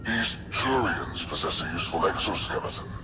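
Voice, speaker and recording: A man playing a cold, imperious alien overlord in a sci-fi video game, speaking in a measured, grandiose, clinical and menacing way. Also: sits low, big pitch swings, noisy background. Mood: cold contemplation. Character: cold, imperious male alien overlord studying captive specimens. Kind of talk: dramatic villain monologue in a sci-fi video game. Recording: loud music under the voice.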